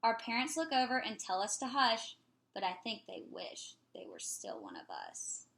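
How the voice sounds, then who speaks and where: A woman reading a children's picture book aloud in an expressive, playful way. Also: medium pitch, normal speed, creaky.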